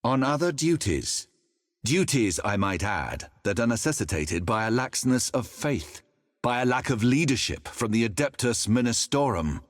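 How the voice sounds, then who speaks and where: A man's voice: mid-range voice, lively intonation, moderate pace, dry recording, close to an everyday voice. A man as a stern, scornful inquisitor, dry sound. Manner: haughty, accusing, formal. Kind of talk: video game cutscene acting.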